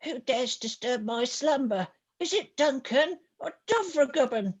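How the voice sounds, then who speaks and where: A woman's voice: sits high, lively intonation, measured pace, breathy edge, lo-fi sound, voice pushed up, heavily acted. Woman voicing a sleepy, menacing great bear.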